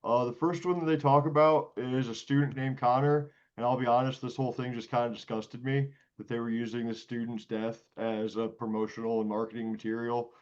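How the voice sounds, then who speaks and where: A man with a middle-pitched voice hosts a panel discussion. He speaks off the cuff in a blunt, indignant way, and the audio is dull and lo-fi. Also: little pitch movement, a little fast, hardly any pauses. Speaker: panel host. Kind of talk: unscripted critical commentary.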